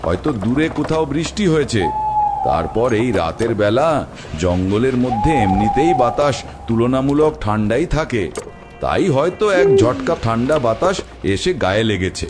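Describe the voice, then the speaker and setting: A man playing a fearless middle-aged village bullock-cart driver in an animated ghost story, scolding and boasting. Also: medium pitch, unhurried, over loud music. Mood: scolding, boastful. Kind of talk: animated horror story voice acting. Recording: very noisy background with clicks.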